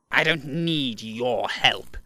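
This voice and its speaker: An actor voices a smug, sneering prisoner in an audio drama. He talks slowly in a bright, animated voice. The sound is close and dry. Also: medium pitch, dry recording, exaggerated voice. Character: smug captured male traitor, sneering.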